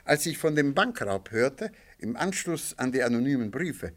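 Radio drama: an unruffled amateur detective, measured, courteous, ironic, mid-pitched. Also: male voice, sing-song. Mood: quietly triumphant. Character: shrewd, unruffled amateur sleuth, quietly ironic man. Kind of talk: radio play dialogue.

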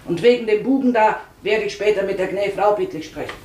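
An actress playing a pious, thrifty, unmarried older cook in a German radio play, brooding and curt. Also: female voice, mid-range voice, fast, noisy background, close to an everyday voice. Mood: gruff, reserved.

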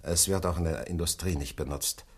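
An actor playing a methodical male laboratory scientist in a German radio play, speaking formally and precisely with a husky voice. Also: sits low, brisk. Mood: puzzled, emphatic. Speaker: laboratory analyst.

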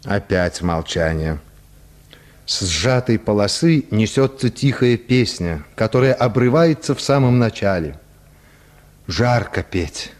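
A man's voice: lowish voice, animated, unhurried, a little mellow. A man narrating a literary radio play in a scripted storytelling style.

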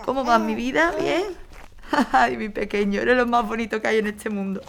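A woman voicing a scared mother on the run with her baby, murmuring tenderly and anxiously in a fairly high voice. Outdoor ambience and passing vehicles are clearly audible behind her. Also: moderate pace, a little hiss or hum. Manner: tender, anxious muttering to herself. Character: young mother fleeing with her baby, frightened. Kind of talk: radio drama acting.

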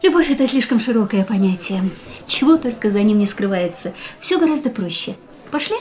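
A woman's voice: medium pitch, animated, brisk, a little mellow, lightly acted. An actress playing a cool, fatalistic young woman in a radio drama, detached and languid with a teasing edge. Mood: detached, wry. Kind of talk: radio play acting. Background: music.

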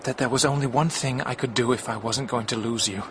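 An actor playing an earnest, devoted husband in a radio drama, speaking with emotional determination. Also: male voice, medium pitch, brisk, lightly acted. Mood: earnest, pained. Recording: clear background ambience noise.

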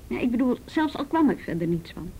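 Brisk, persistent policewoman, dark mellow voice, dry questioning, radio play with noisy rumble. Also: big pitch swings. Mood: gently insistent. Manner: probing, matter-of-fact, dry. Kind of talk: radio drama acting.

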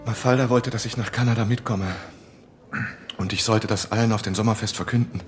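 Schauspieler als verbitterter junger Mann, tiefe Stimme. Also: male voice, fairly low pitch, steady tone, a little fast, faint music behind, lightly acted. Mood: bitter, confessing. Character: bitter young male student confessing to police. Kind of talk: German radio crime drama acting.

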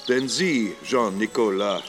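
An actor playing a self-assured, condescending gentleman in a radio drama, speaking formally and patronizingly to a family he advises. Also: fairly low pitch, takes it slowly, music in the background.

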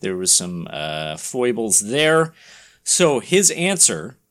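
A man's voice: lowish voice, big pitch swings, slow, a bit bright. Man casually walking through podcast news. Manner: explanatory, conversational, paraphrasing quotes as he goes. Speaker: podcast co-host explaining comics news.

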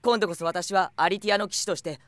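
A man's voice: high-pitched, fairly even intonation, fast, fairly bright tone, no echo. A man playing a humble, earnest young archer knight in an anime audio drama, speaking politely and unsure of himself. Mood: anguished, determined.

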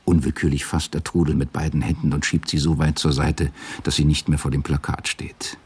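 A man narrating a literary radio drama in a measured, sombre way, with a gravelly, husky voice. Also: a bass voice, level tone, a little mellow.

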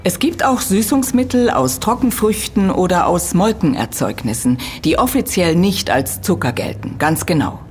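An actress playing an earnest, critical university lecturer in an audio drama, explaining precisely and emphatically. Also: female voice, on the low side, very animated, normal speed, hardly any pauses, faint music behind. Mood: explanatory, critical. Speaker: expert being interviewed.

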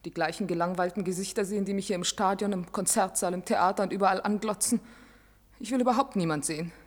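Actress as a husky, fed-up woman, echoing hall. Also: mid-range voice, quick pace, natural voice. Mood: desperate, weary. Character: restless, weary, fed-up woman longing for solitude. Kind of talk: German science-fiction radio play acting. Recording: reverberant large hall.

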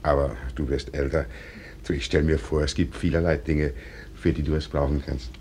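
An actor playing a middle-aged small-town doctor and father, measured and gently firm, in a radio drama. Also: rumbling low, level tone, slight background noise. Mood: fatherly, grumbling. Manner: measured, paternal, gently chiding. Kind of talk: German stage-play radio drama acting.

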